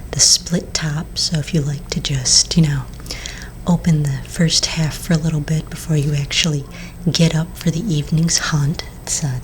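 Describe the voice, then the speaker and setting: Woman doing a soft whispery vampire sales lady, asmr roleplay, real low voice, gentle n patient, rumbly noise in the background. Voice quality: soft, breathy, mostly whispered. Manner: gentle, unhurried, reassuring. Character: friendly, patient female vampire coffin sales agent.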